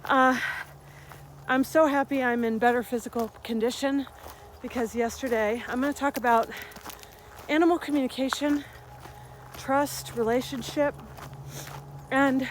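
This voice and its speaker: A woman's voice: high voice, unhurried, pauses often, slight background noise. A woman in her fifties, chatting animatedly in a casual vlog as she walks uphill outdoors, a little out of breath. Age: 50s. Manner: chatty, animated storytelling with laughs. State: slightly out of breath from walking uphill. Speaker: vlogger and health coach. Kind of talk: casual vlog while walking. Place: outdoors on a rural uphill trail.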